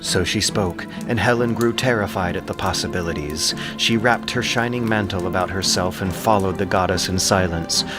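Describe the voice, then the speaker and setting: A man's voice: sits low, moderate pace, hardly any pauses, over music. A man narrating an ancient epic as a dramatic, scripted audiobook.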